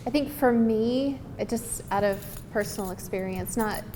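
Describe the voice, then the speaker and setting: A woman's voice: on the high side, moderate pace. Woman writer at a q&a, earnest, feeling for her words, long hall reverb, noisy background. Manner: earnest, hesitant, searching for words. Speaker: screenwriter answering audience questions. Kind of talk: casual, unscripted Q&A talk. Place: live event hall.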